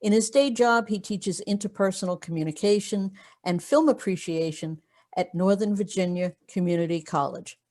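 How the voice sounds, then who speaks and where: A woman's voice: medium pitch, moderate pace, dark tone. A woman hosting a video call interview, reading an introduction and then asking questions with frequent fillers. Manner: hesitant with many fillers when speaking freely. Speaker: blog host and interviewer.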